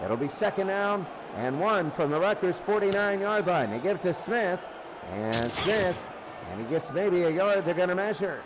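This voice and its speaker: High-voiced man calling football over noise. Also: expressive, moderate pace. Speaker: play-by-play sports commentator. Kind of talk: American football radio-style play-by-play commentary.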